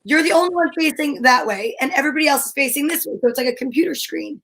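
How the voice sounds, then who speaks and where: Woman, very high pitch, casual conversational co-host, explanatory, thinking aloud. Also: fast, fairly bright tone, slight background noise. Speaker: co-host of a comedy talk show. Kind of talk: casual chat.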